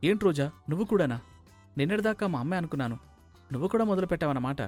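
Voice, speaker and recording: A man voicing a principled, reluctant young bachelor in an animated moral story. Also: a little high, racing, soft background music, lightly acted. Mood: exasperated.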